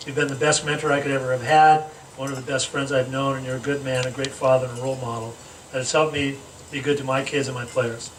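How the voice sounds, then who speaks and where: A man reading a comedic roast speech aloud to a gathering, teasing with deliberate pauses. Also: mid-range voice, flat delivery. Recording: echoey room, distant mic, noisy background.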